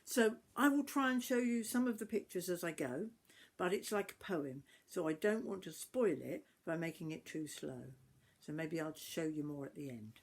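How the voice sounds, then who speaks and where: Older woman, British accent, slightly low pitch, expressive storytime reading, grandmotherly storyteller. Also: normal speed. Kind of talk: reading a Christmas poem aloud to children.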